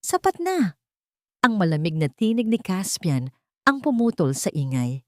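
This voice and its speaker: A Filipino-accented woman narrates a scripted audiobook drama. Her voice sits a little low for a woman. The recording is very dry, with no room sound. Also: sing-song, moderate pace, no room sound.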